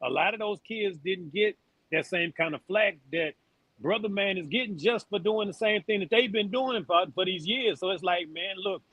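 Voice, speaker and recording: Husky, higher-voiced American man debating sports. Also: moderate pace, a bit bright, no room sound, rough recording. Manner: repeats starts, blunt slangy talk. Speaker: sports show panelist. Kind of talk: casual, candid sports debate.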